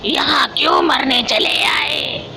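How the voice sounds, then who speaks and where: A woman voicing a wicked, gloating witch in a horror cartoon, speaking in slow, menacing threats with a creaky voice. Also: sits high, full character voice. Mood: furious. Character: wicked, gloating witch craving immortality through human sacrifice. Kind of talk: Hindi horror cartoon voice acting. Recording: loud music under the voice.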